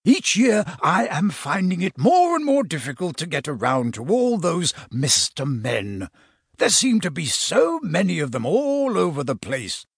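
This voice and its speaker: A man playing rumbly, jolly old Santa Claus. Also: gravelly, slightly rough, no room sound, clean studio sound, exaggerated voice. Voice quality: deep, rumbly.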